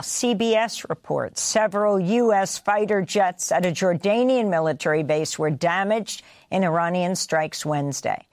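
A woman news anchor with an American accent reading scripted headlines in a measured, deliberate, emphatic way. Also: mid-range voice, no room sound, clean studio sound. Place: broadcast studio.

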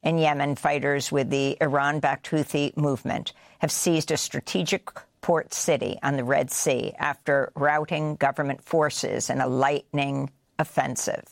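A woman news anchor with an American accent reading scripted headlines in a measured, deliberate, emphatic way. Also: low-pitched, steady tone, creaky, dry recording, clean studio sound. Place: broadcast studio.

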